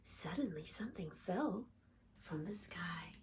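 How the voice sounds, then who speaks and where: A woman reading a picture book aloud for young children in an expressive, dramatic, deliberate storytelling manner. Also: fairly low pitch, recorded from a distance, a little hiss or hum. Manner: animated, dramatic, deliberate phrasing for small children.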